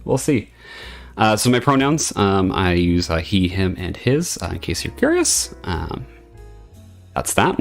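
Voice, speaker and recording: A man with a low, gravelly voice talks in a loose, rambling way, pausing often as he gathers his thoughts. His tone is earnest and friendly, with soft music playing faintly behind him. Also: huge pitch swings. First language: English. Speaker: streamer. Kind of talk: casual debut stream chat.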